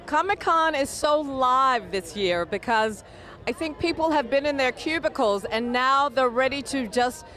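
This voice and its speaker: A woman's voice: sits high, animated, a little slow, bright, forceful tone. A woman giving casual interview answers at a fan convention.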